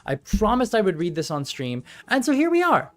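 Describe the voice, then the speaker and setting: A man's voice: sits high, wildly swooping pitch, fairly quick. A young man streaming live, chatting casually and muttering to himself while setting up, with music playing behind him. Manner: rambling, self-talking while fiddling with setup. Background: background music.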